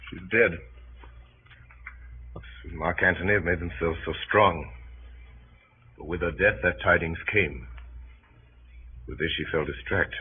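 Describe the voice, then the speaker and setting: An actor playing a stern, noble, grief-weary Roman general in a grave, formal 1930s radio Shakespeare style. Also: male voice, deep voice, unhurried, pauses often. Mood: stoic grief. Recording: muffled, noisy 1930s radio broadcast.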